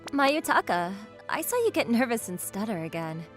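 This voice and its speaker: Actress doing a popular teen girl, chirpy, high swooping voice, friendly manga character. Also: sing-song, moderate pace, soft background music. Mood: teasing, excited. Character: perky popular teenage schoolgirl, fond childhood friend. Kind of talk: manga dub dialogue.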